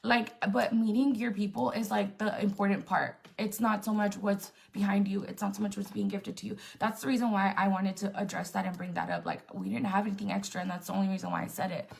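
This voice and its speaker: A woman's voice: mid-range voice, fairly quick, gravelly, few pauses. A young woman influencer venting bluntly and defiantly to her viewers on a live stream, swearing often and filling with "like". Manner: blunt and defiant, swears a lot, many "like" fillers. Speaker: influencer on a livestream.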